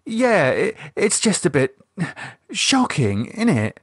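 A man's voice: sits high, huge pitch swings, slow pace, slightly rough, no room sound, studio quality, voice pushed up, exaggerated voice. A man voicing a nervous working-class woman who puts on a cheery front, in an audiobook. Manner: flustered, forced cheerfulness. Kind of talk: audiobook character voice.

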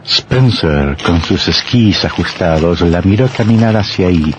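A deep, theatrical horror narrator. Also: male voice, lively intonation, measured pace. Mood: sinister, dramatic. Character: dramatic male narrator of sinister horror tales. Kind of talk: scripted radio horror narration. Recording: noisy old radio drama recording.

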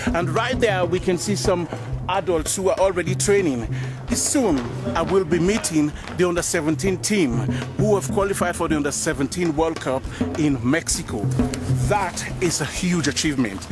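A middle-aged man from Sierra Leone presenting a documentary report, speaking earnestly and reflectively outdoors. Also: fairly high pitch. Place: outdoors at a football ground.

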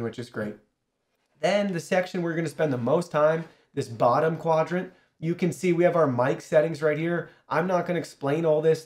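A man's voice: on the high side, a little mellow. A man in his thirties giving an upbeat, casual product walkthrough, explaining directly to viewers, recorded on a shotgun studio microphone. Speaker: product reviewer and host. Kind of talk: casual product overview and software tutorial. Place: small indoor studio. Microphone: shotgun studio microphone through an audio mixer.

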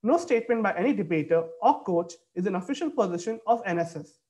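A man's voice: high-pitched, animated, quick pace, darkish tone. Young man reading formal moderator script. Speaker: debate moderator. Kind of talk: scripted announcements. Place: indoor room, video call.

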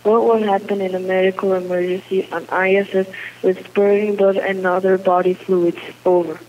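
Even-toned woman on a dull radio link. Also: medium pitch, moderate pace, a little hiss or hum. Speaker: student asking a question. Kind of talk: read-out question.

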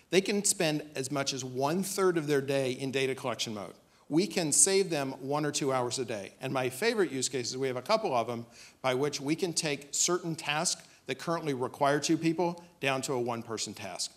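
Male presenter, medium pitch, delivering a startup pitch from an auditorium stage. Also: a bit bright.

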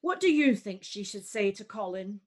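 A woman's voice: mid-range voice, very animated, moderate pace. A woman playing a friendly, cheeky village gossip in an amateur radio pantomime.

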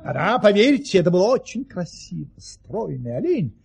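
Husky, animated aristocratic teller of tall tales. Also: male voice, high voice, heavily acted. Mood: relishing. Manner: unhurried, theatrical, mock-earnest raconteur. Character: Baron Munchausen, genial unflappable aristocrat spinning tall tales. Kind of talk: Russian audio play acting, storytelling.

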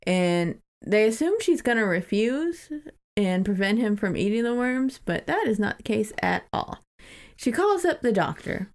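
A woman's voice: medium pitch, very animated, a little slow. Woman chatting casually, giggly and informal. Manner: giggly, informal, lightly sweary. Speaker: podcast co-host. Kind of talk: casual book discussion with retelling and read-out passages.

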